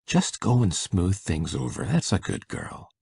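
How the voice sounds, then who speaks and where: A man acts out a shy older farm man in an audiobook drama. His voice is gravelly and mellow with lively swings, recorded dry. He coaxes softly and haltingly, with rustic kindliness. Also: low-pitched, no room sound, exaggerated voice. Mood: eager, gentle.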